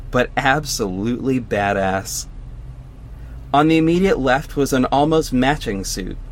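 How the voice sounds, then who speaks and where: Man acting vigilante hero narrating, mid-pitched. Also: slight background noise, lightly acted. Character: male vigilante hero recounting his own story. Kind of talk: first-person scripted narration.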